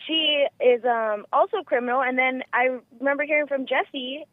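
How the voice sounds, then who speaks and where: A woman with a squeaky voice calling in by phone, chatting casually in a rambling way full of filler words. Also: fairly even intonation, normal speed, no room sound. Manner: rambling, frequent "like" and "you know". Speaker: caller. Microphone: phone call. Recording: muffled lo-fi telephone line.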